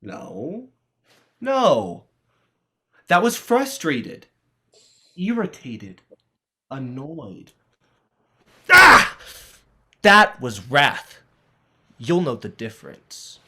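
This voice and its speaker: A man's voice: on the high side, wildly swooping pitch, very slow, long pauses, pushed and bright, husky, full character voice. A man playing a defiant, sarcastic teenage schoolboy with a cheeky, melodramatic manner in a play reading. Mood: exasperated. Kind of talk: dramatized play script reading.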